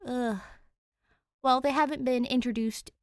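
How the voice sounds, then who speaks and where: A woman reading fan fiction aloud and chatting to listeners in an expressive way. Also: sits high, a little slow, no room sound, studio quality. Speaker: fan fiction podfic reader. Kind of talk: story narration and casual chat to listeners.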